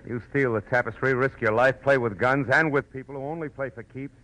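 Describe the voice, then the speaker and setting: An American actor playing a wry, world-weary, wisecracking private detective in a 1940s radio drama. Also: male voice, medium pitch, steady tone, a little fast, fairly bright tone, close to an everyday voice, low-quality recording. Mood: tough. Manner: wisecracking, sardonic. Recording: old radio broadcast with music.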